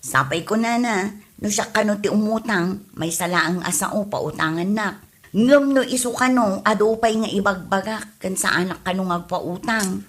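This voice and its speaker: A woman's voice: mid-range voice, moderate pace, natural voice. An actress plays a farmer's wife with a mid-range voice. She sounds apologetic yet exasperated as she explains herself. The accent is Filipino and the style is light comedy drama. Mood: aggrieved. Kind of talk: Ilocano podcast comedy drama.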